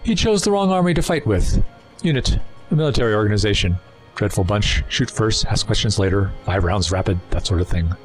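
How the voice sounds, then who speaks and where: An actor in an audio drama playing a suave, erudite renegade Time Lord villain, wordy, condescending and persuasive. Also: male voice, fairly low pitch, lively intonation, a little fast, soft background music, close to an everyday voice, low-quality recording. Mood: persuasive, scornful. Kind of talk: full-cast audio drama acting.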